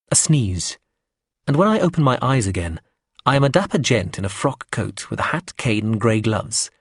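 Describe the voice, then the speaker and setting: Brief: low, husky male narrator, wry and measured, dry close sound. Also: no echo. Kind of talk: first-person audiobook narration.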